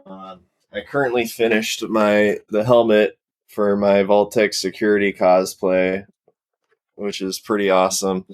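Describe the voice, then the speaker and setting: Deep, even-toned man in casual chat. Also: a little slow, dry recording. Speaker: podcast guest, cosplay prop maker.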